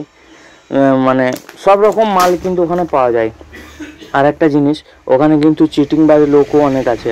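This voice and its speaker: A man's voice: on the high side, a little mellow, highs cut off. A man from West Bengal, a spice trader chatting casually as he tours a market and gives viewers advice, with frequent restarts. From West Bengal, India. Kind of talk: casual market-tour vlog.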